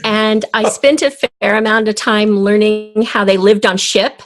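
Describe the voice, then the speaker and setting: A woman being interviewed as an audio drama creator, explaining her ideas in a measured, deliberate way. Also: medium pitch, steady tone.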